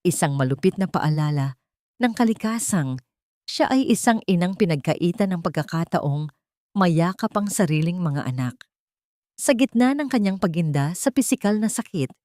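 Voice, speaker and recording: A woman storyteller with a low-pitched voice reads a scripted melodrama. Her delivery is solemn and dramatic, and the sound is very dry, with no room echo. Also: a little mellow. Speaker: narrator of dramatic stories.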